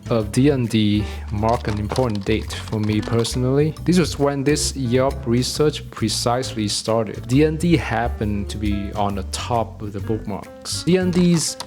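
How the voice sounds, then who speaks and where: A man's voice: mid-range voice, measured pace, few pauses, music in the background. Wry man with a Vietnamese accent. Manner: conversational, opinionated, wry asides. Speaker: food reviewer. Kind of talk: food review monologue.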